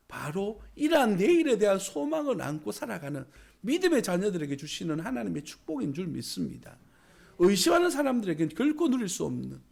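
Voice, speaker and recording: A male pastor preaching a sermon in a small church, hoarse from a cold, with emphatic rising exclamations. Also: very high-pitched.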